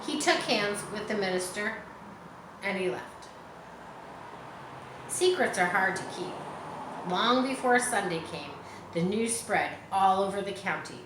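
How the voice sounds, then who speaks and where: A woman's voice: mid-range voice, animated, a little slow, pauses often. A woman reads a children's story aloud in a mid-range voice. Her delivery is informal, like reading to listeners at home. The room echoes, with faint noise behind her. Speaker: storybook reader. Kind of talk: children's book read aloud with informal asides. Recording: roomy echo, faint background noise.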